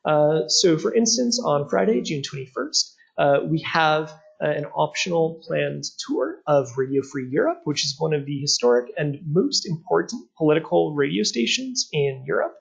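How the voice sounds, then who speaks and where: Young man, webinar presenter, husky, gravelly, lots of uh fillers, earnest. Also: on the high side, sing-song, normal speed, few pauses, muffled recording. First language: not Czech. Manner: frequent "uh" fillers, earnest and explanatory. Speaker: study abroad program presenter. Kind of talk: webinar presentation over slides.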